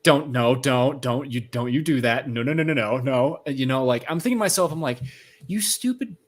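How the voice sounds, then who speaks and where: Mid-pitched man, colloquial and exclamatory. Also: lively intonation, normal speed. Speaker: co-host of a read-along discussion. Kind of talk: casual book discussion.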